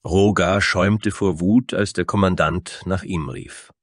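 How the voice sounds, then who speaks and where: A man's voice: low voice, fairly even intonation, measured pace, no room sound, studio quality. A man narrating a scripted fantasy story in a dramatic storytelling style.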